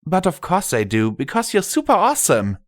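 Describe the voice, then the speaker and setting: A man voicing a young female pony, a daughter who is cheeky and sulky, expressive in a storybook reading. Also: fairly high pitch, brisk, no echo, studio quality, voice pushed up. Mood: teasing. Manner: pleading, sly, expressive.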